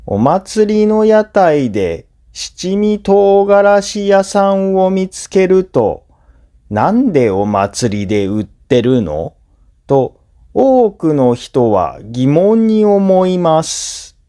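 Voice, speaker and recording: A man narrating a scripted listening lesson for language learners, phrasing deliberately in short segments. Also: high-pitched, sing-song, dark tone. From Japan.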